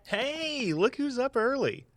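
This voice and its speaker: An actor playing a teasing walking tour guide in an audio drama, with a sardonic way of talking. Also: male voice, very high voice, big pitch swings, slow pace, a bit bright, slight background noise, heavily acted.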